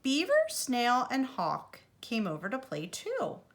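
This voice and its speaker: Animated woman reading a children's story. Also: on the high side. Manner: animated, deliberate delivery for young children. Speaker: preschool teacher reading aloud.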